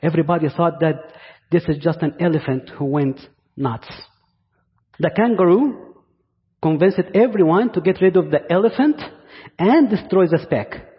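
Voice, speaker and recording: A man from Egypt with an Egyptian accent preaches a sermon to a congregation as a guest, deliberately, pausing and repeating phrases for emphasis. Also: on the high side. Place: large indoor church space. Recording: muffled, reverberant church hall sound.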